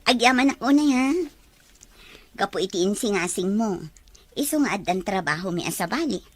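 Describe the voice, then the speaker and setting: A woman's voice: mid-range voice, lively intonation, moderate pace, close to an everyday voice. A woman acting a friendly, teasing young office worker in a drama. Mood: friendly, playful. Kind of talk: Ilocano-language drama dialogue.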